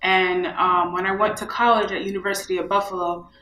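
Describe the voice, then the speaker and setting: A young woman from New York with a bright voice talks about her work in a casual interview. She speaks chattily and leans on fillers. The sound has the ring of an echoey room. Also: medium pitch, flat delivery, a little fast. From New York, USA. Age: young adult. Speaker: film festival co-founder.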